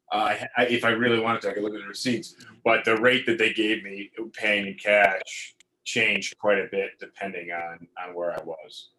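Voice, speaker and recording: A man with a fairly low voice tells a casual, rambling story, circling back over his words and swearing freely. His delivery is loose and conversational. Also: pushed and bright, low-quality recording. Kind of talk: casual chat.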